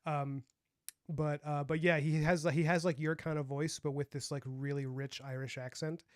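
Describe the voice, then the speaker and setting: A man's voice: on the high side, level tone, normal speed, dry recording, clean studio sound. A young man with a light, youthful voice, chatting casually on a livestream and rambling with lots of fillers. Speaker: streamer and comic artist.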